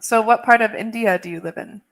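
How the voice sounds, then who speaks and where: Relaxed, laughing American woman tutor. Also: fairly high pitch, fairly quick. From California, USA. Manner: laughs often, relaxed, chatty. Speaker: English tutor. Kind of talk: casual video-call chat. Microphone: video call.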